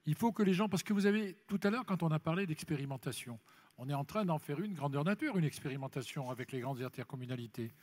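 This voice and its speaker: A man's voice: a little high, animated, quick pace, darkish tone. A man speaking off the cuff as an elected official on a congress panel, emphatic and repeating phrases for stress. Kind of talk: impromptu panel discussion at a congress. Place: large congress hall plenary session. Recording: reverberant large hall, amplified speech.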